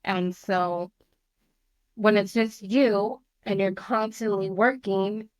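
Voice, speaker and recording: Earnest mother with a naturally loud voice. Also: mid-range voice, steady tone, no echo. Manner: earnest, open, halting. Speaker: mother of a teen on a family counseling show. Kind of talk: candid conversation.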